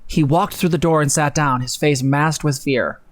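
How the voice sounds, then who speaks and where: A man's voice: fairly high pitch, fairly even intonation, normal speed. A young man hosting a live stream, reading aloud dramatically with mocking, sweary, theatrical commentary. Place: home room, indoors.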